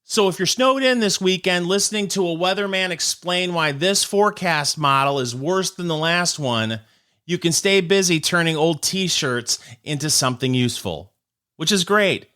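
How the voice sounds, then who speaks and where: Man, comedic news host, slightly high pitch, bright forceful tone, wry sarcasm, punchy scripted monologue. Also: moderate pace. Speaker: host of a short comedic news commentary show.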